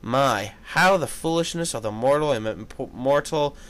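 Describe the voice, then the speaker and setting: A man's voice: medium pitch, lively intonation, moderate pace, fairly bright tone, close to an everyday voice. A man reading a fan fiction aloud as a formal, threatening ancient demon, pompous and grandiose. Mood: menacing, scornful. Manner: pompous, archaic wording.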